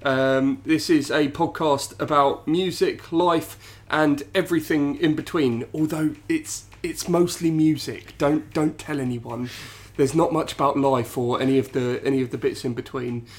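A man's voice: on the high side, steady tone, a little slow, few pauses. A man hosting a casual podcast while stuffy with a cold, chatty and self-deprecating with lots of ums and restarts. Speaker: podcast host. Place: home studio.

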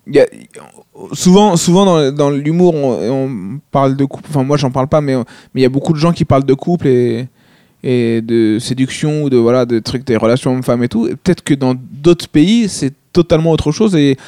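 A man's voice: mid-range voice, warm tone, dry recording, studio quality. A man, a stand-up comedian, talking casually and hesitantly, with many restarts and fillers. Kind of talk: casual conversation.

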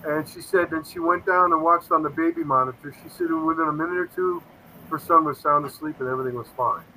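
Man in his fifties, bright forceful tone, somewhat high voice, rambling and earnest, faint hum behind. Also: fast, low-quality recording. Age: 50s. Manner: rambling, earnest, quotes himself often. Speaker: paranormal investigator guest. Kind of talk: casual interview storytelling.

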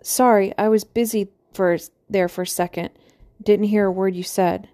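A woman's voice: mid-range voice, steady tone, normal speed, mellow, no room sound, lightly acted. A woman voicing a weary middle-aged man who jokes dryly on the phone. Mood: wry. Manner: dry, joking, evasive.

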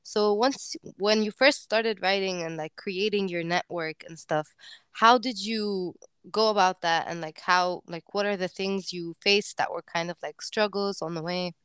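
A woman's voice: medium pitch, unhurried, fairly bright tone, smooth, dry recording, studio quality. A woman interviewing a guest, asking friendly questions full of 'like' and fillers. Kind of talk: casual interview talk.

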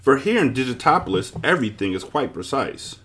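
Guy doing a fussy talking shape from a storybook, pitched way up, swoopy, bossy and precise. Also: big pitch swings, brisk, fairly bright tone. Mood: cheerful, brisk. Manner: precise, scolding, emphatic. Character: pompous, excitable male dodecahedron with many changing faces.

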